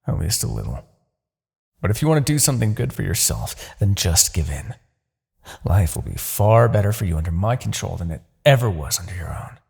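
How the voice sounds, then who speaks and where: Man acting a smug, gravelly, deep-voiced Demon King. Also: a little mellow, exaggerated voice. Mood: taunting, amused. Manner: taunting, theatrical, drawn-out deliberate phrasing. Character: smug, commanding male Demon King, silkily menacing and possessive. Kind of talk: fantasy ASMR roleplay acting.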